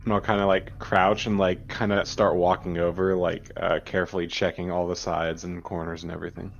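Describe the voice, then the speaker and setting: A man's voice: low voice, level tone, brisk, gravelly. A man playing a tabletop game, talking casually and tentatively about what to do. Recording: dull audio with faint background noise.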